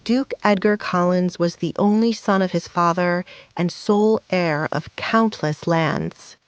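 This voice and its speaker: A woman narrating a romance audio drama in a measured, even storytelling manner. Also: fairly low pitch, clear, resonant tone, dry recording, lo-fi sound.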